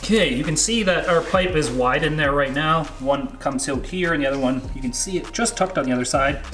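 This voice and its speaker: Higher-pitched Canadian man, casual workshop vlog. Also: a little fast, fairly bright tone. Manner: jokey, slangy. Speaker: vlog host and fabricator. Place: garage workshop, indoors.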